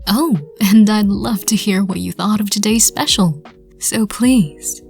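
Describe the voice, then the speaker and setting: A woman narrating an audio roleplay and hosting her channel, speaking softly with a whispery touch. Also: medium pitch, unhurried, creaky, faint music behind.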